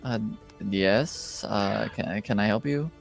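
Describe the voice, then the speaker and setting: A man's voice: on the low side, slow, creaky, faint music behind. A man voicing a timid, worried man who stammers and hesitates in a tabletop roleplaying game. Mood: wary. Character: nervous, timid man, worried and easily frightened.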